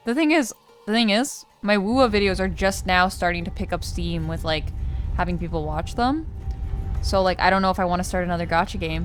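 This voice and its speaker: A young adult woman giving casual let's play commentary, chatty and slangy as she thinks out loud. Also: lowish voice. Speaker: gaming streamer. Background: game music.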